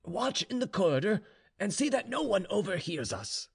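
A stern aristocratic general and father, acted out by a male storyteller, pitched higher and full of swings. He speaks formally and gravely, with suspicion under every clipped question, as in an old Russian tale. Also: sing-song, normal speed, full character voice. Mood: grave, guarded.

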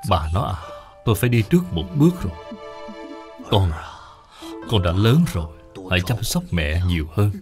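A man's voice: low-pitched, sing-song, moderate pace, pauses often, over music. A man voicing a devoted, humble male servant in his eighties, dying and giving earnest, solemn advice in a cartoon. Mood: weak farewell. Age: 80s.

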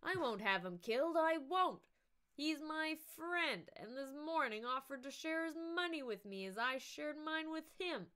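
A woman's voice: sits high, expressive, measured pace, bright, forceful tone, heavily acted. A woman voicing a drunk, blustering middle-aged man in a read-aloud novel, drawn out and slurring. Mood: insistent drunk.

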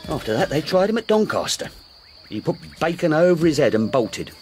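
An actor playing a humble, earnest racehorse jockey in a radio drama, deferential, with rustic, ungrammatical speech. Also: male voice, on the high side, big pitch swings, a little fast, a little mellow, a little hiss or hum. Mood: earnest, indignant. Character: humble, earnest working-class racehorse jockey devoted to his horse.

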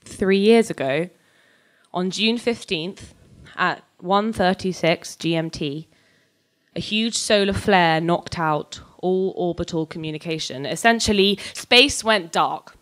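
A young woman with a slightly low voice performs a short story live at a stage microphone. Her delivery is scripted and dramatic, moving between measured narration and more conversational character lines. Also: a bit bright. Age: young adult. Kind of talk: live dramatic reading of scripted science fiction, alternating narration and dialogue. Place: on stage at a live reading event, indoors. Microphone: handheld-type microphone on a stand, close.

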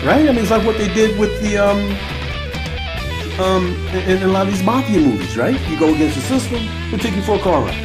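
A man hosts an internet radio show with an unscripted political monologue. His voice sits a little high, and he keeps tagging his points with rhetorical questions. A soft guitar plays faintly beneath him. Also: lively intonation, brisk. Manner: repetitive, rhetorical "right?" tags, sweeping pitch.

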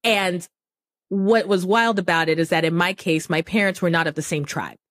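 A woman's voice: on the low side, normal speed, dry recording, studio quality. A young woman hosting a podcast interview, talking animatedly and checking in with "right?" as she goes. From Cameroonian family, raised partly in the United States. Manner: animated, affirming, frequent "right?" tags. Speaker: podcast host. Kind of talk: conversational interview.

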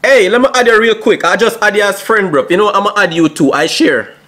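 A man's voice: sits high, a little fast, fairly bright tone, slight background noise. Playful Jamaican man vlogging at home. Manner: playful, slangy, drops Jamaican patois exclamations. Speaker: YouTube vlogger. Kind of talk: casual vlog chat with strangers on a video app. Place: small home room indoors.

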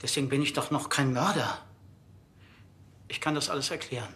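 An actor playing a formal, defensive undertaker, polite and businesslike, in a TV crime comedy. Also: male voice, mid-range voice, fairly quick, sharp tone, husky.

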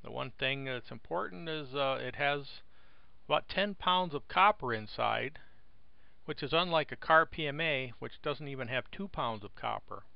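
Man, mid pitch, gravelly and smooth, dry close mic, slightly dull lo-fi sound, relaxed plainspoken explainer. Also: no room sound. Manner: relaxed, plainspoken, with frequent "uh" pauses. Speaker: video host explaining wind turbines. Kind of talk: casual screen-recorded explainer.

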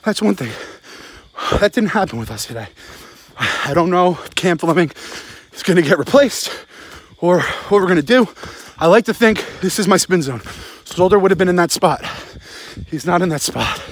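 Husky, higher-pitched man ranting bluntly. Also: lively intonation, normal speed, dry recording. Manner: blunt, heavy swearing, emphatic short bursts; talking while walking laps outdoors. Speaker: sports vlogger, football fan. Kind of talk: casual postgame rant, rambling to camera. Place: outdoors at night. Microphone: phone held close.

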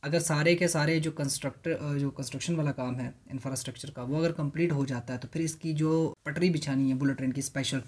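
A man's voice: on the high side, steady tone, fast, hardly any pauses, a little mellow, breathy edge. A young man, co-hosting a reaction chat from a sofa indoors and explaining things in detail. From Pakistan. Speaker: reaction video co-host. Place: indoor room, seated on a sofa.

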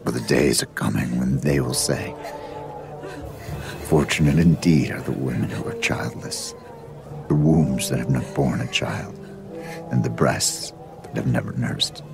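Suffering, compassionate holy man for scripture drama: extremely low, slow, grave delivery over music. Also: creaky, heavily acted. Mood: solemn sorrow.

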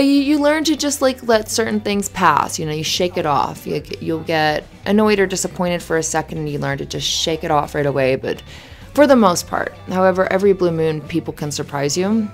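A woman playing a flustered, friendly young café barista in an ASMR roleplay, chatty and halting in a natural conversational way. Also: fairly low pitch. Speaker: voice actor in audio roleplay.

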